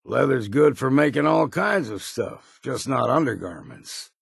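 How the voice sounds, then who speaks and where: An actor playing a gruff old hard-drinking hunter and guide in a video game, with a raspy voice and folksy, laconic talk. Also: male voice, medium pitch, lively intonation, a little slow, no echo, studio quality, heavily acted. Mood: folksy, plainspoken. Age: older. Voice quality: gravelly, raspy. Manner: folksy, dropped endings, laconic. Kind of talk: video game character acting.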